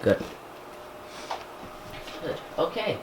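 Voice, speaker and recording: A man chatting casually and haltingly to himself as a hobbyist vlogger handling a device, recorded indoors. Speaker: hobbyist vlogger unboxing gadgets. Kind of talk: casual unscripted chat while handling a device. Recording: echoey room, clear background noise.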